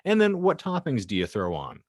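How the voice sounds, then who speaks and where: A man's voice: medium pitch, wildly swooping pitch, brisk, no echo, studio quality. A man hosting a casual podcast, chatting in a wordy, mock-formal way with elaborate vocabulary. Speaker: podcast host.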